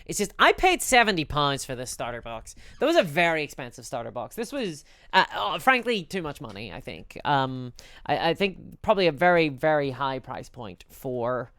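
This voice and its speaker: A woman streamer with a Belfast accent talks casually and candidly to her live audience. Her voice sits low for a woman but swings animatedly up and down. It is smooth and clean, conversational throughout. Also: sing-song, normal speed, fairly bright tone, no room sound. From Northern Ireland.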